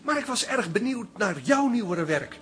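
A man's voice: sits high, expressive, moderate pace, fairly bright tone, soft background music. A man acting a stiff, easily offended, self-important professor in a Dutch radio comedy drama, with formal, precise wording. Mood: polite, inquisitive.

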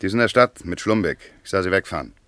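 An actor playing a skeptical, irritable village doctor in a 1950s German radio play, with a dismissive, grumbling manner. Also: male voice, low voice, expressive, quick pace, a bit bright, dry recording. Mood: matter-of-fact.

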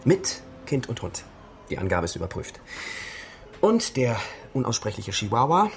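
An actor playing a sardonic amateur sleuth in a radio crime drama, reporting in a dry, clipped and grumpy way. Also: male voice, mid-range voice, very animated, moderate pace. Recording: noisy ambience behind the voice.

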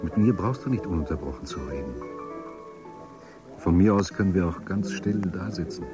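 An actor as a low-voiced, plain-spoken dissident. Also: male voice, normal speed, music in the background, close to an everyday voice. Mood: quiet, reflective. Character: calm, protective male dissident, disillusioned former official. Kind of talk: German radio drama acting.

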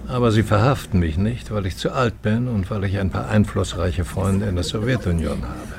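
An older man playing a charming, bold professor emeritus in a German radio play, speaking in a measured, lecturing, ironic way. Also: fairly low pitch, vocal fry, background noise. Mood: guarded.

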